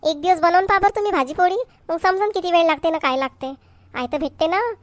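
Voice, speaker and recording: A woman's voice: very high-pitched, fast talker, dry recording. A woman playing an exasperated, hard-working village wife in a comedy cartoon, complaining sharply in a Varhadi Marathi accent. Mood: fed up. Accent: Varhadi Marathi from Vidarbha. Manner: scolding, complaining, sharp-tongued.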